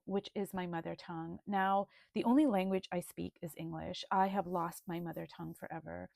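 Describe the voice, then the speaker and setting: A woman presenting her art journal in a video, speaking in a slow, thoughtful, gently earnest way. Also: on the low side, vocal fry, no room sound, studio quality. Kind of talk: reflective video flip-through.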